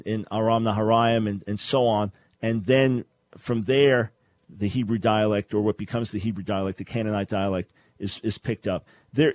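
A man's voice: medium pitch, no echo. Scholarly male radio host, dry studio sound. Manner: explanatory, scholarly, many restarts. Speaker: radio talk show host. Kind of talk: call-in radio Bible Q&A.

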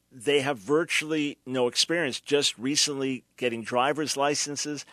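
A man's voice: mid-range voice, a bit bright, dry recording. Emphatic male commentary host, dry sound. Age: older. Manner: emphatic, preacherly, mocking at times. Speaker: radio show host.

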